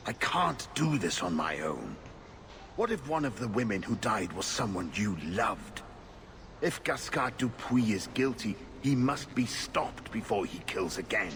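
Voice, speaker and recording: A man's voice: fairly low pitch, big pitch swings, a little slow. A man playing an earnest, weary, determined knight investigator in a video game. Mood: earnest, urgent. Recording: noisy game audio capture.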